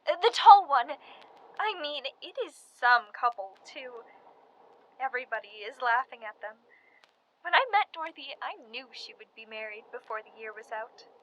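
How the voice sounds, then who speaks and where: A woman's voice: high voice, animated, moderate pace, muffled recording, a little hiss or hum. A young woman playing a chatty but weary and frightened college student from 1918 in a radio play. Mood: gossipy, amused. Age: young adult. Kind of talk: dramatized letter monologue, period radio play.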